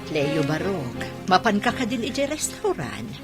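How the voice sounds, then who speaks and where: A woman's voice: fairly low pitch, very animated, unhurried, over loud music. A woman acting a shy, easily teased middle-aged single woman in an Ilocano radio drama. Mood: gentle.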